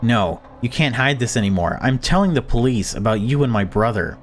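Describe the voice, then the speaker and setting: A man's voice: mid-range voice, expressive, a little fast, a little hiss or hum, natural voice. A man voicing a distraught young daughter, fed up and pleading, reading visual novel dialogue aloud. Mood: hurt, defiant.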